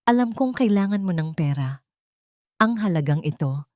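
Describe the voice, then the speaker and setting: A woman voicing a calm, stern wealthy husband in an audio drama, speaking in a measured, heavy way. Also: fairly low pitch, no room sound, clean studio sound, lightly acted. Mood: calm, firm.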